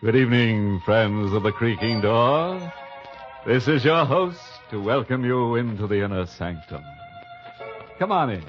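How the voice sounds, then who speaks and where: Guy doing a creepy jokey old-time radio horror host, low slow teasing voice, muffled recording w music behind. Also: lively intonation, natural voice. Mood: macabre glee. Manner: ghoulish joking, drawn-out teasing delivery. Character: jovially macabre male horror show host, mockingly sinister. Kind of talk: 1950s radio horror hosting.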